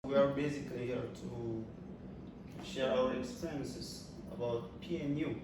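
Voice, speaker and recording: A man's voice: medium pitch, steady tone, measured pace. A young man from Ethiopia, a freshman, asking questions casually with many fillers. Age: young adult. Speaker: freshman student. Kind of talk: casual interview. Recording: echoey room, far from mic, noisy.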